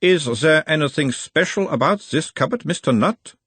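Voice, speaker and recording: A man's voice: fairly high pitch, lively intonation, normal speed, a bit bright, breathy edge, dry recording, voice pushed up. A man voicing an insistent analyst persona in an audiobook, formal and clinical. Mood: probing. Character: insistent, probing male analyst persona of a hypnotised creature.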